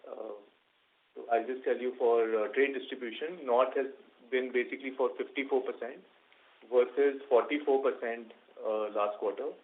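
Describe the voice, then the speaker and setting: A man with an Indian accent, a company executive answering questions on an earnings call in halting, measured corporate phrasing. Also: mid-range voice, steady tone. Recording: narrow tinny conference phone line.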